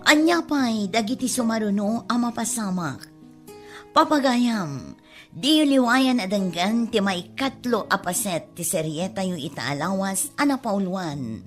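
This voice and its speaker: A woman's voice: mid-range voice, lively intonation, measured pace, fairly bright tone, faint music behind. A woman announcing a serial radio drama in a scripted, presentational style.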